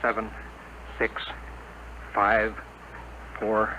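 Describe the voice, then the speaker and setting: A man's voice: lowish voice, completely flat delivery, drawn-out words. An actor playing a tense spaceship crewman making terse callouts in a 1950s radio drama. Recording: noisy old radio broadcast.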